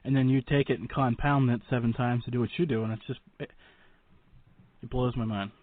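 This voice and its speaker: Male radio host, mid-pitched, quick and chatty, informal interview tone, on muffled narrow-band audio. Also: fairly even intonation, no echo. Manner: chatty, informal, colloquial phrasing. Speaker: paranormal radio show and podcast host. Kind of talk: casual interview chat. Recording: dull, band-limited radio call audio.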